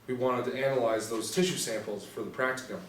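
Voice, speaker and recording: A guarded, uneasy young male student witness, read by a man at a table read. He speaks hesitantly at a middle pitch. The room is echoey, the mic is distant and there is faint noise. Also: fairly even intonation, quick pace, natural voice. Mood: uneasy, reluctant. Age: young adult. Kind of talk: scripted table read of a TV pilot. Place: small indoor room, seated reading.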